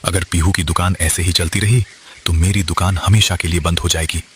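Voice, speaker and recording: A man's voice: low-pitched, steady tone, brisk, background noise, lightly acted. A man playing a jealous, scheming male kite bird shopkeeper in a children's cartoon story. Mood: resentful, plotting. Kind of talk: animated children's moral story dialogue.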